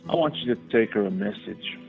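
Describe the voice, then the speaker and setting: Tinny audio with faint music carries a man acting a spurned young gentleman in a radio play. He has a medium-pitched, creaky voice and speaks formally, with insistent earnestness. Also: lively intonation, brisk.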